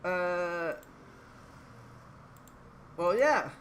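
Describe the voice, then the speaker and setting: Slow, lively theatrical story reader. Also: medium pitch, a little hiss or hum. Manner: theatrical, stumbles and rereads phrases. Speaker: reader of a fan fiction. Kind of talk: mock-dramatic comedic story reading.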